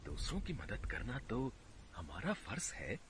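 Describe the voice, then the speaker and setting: A man plays a poor, honest village laborer in a cartoon, humble and earnest with a hesitant edge. The voice sits fairly low and unhurried but swings lively in pitch, with music playing behind. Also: heavily acted. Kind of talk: cartoon voice acting.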